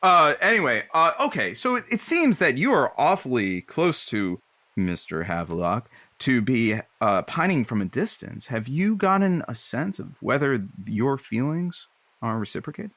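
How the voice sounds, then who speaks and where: A man playing a snarky, flustered producer who stands in as host of a comedy advice phone-in, wry and halting. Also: mid-range voice, sing-song, pushed and bright, dry recording. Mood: curious, teasing. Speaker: stand-in radio show host.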